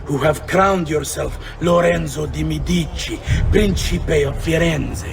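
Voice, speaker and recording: An actor playing a scornful, threatening corrupt magistrate, sneering and deliberate, as a game villain. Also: male voice, fairly high pitch, vocal fry, noisy background. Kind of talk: video game villain acting. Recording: far from mic, music over it.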